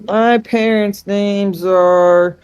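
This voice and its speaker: A woman playing a tabletop game, chatting casually and improvising in a hesitant, deadpan way. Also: mid-range voice, very slow, clean tone, highs cut off, faint music behind. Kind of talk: casual, unscripted in-game chat.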